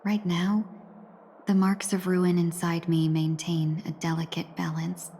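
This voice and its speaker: A woman acting a graceful, composed young woman in a video game, with polite, measured phrasing and a wry, quietly resolute temper. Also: lowish voice, level tone, slight background noise, natural voice. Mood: calm resolve. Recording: long reverberant hall echo.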